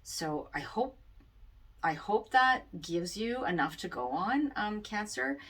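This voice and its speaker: A woman astrologer giving a casual forecast, rambling with many fillers, in a loud voice picked up by the camera mic. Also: medium pitch, animated, takes it slowly. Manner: rambling, many ums and you-knows. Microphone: camera mic, microphone not plugged in. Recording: distant sound from unplugged mic.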